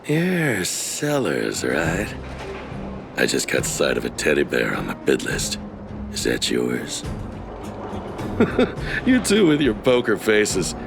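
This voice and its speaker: A man's voice: sits low, huge pitch swings, slow, gravelly, pauses often, full character voice. A man playing a smug, suspicious schemer in a game, sly and theatrical. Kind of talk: animated game voice acting. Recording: music behind the voice.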